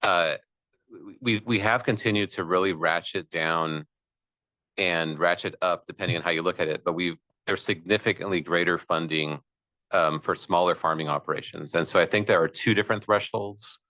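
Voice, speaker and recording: A man with a naturally loud voice, an agency official speaking at length at a public meeting, with frequent fillers and restarts. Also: deep voice, normal speed, no echo, rough recording. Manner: expansive, talks at length with many fillers. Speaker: air district official.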